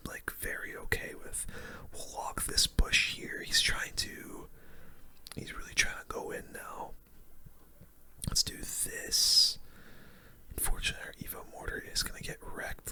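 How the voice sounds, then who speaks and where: A host giving relaxed, casual game commentary entirely in a breathy whisper, in an ASMR style close to the microphone. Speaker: gaming video host.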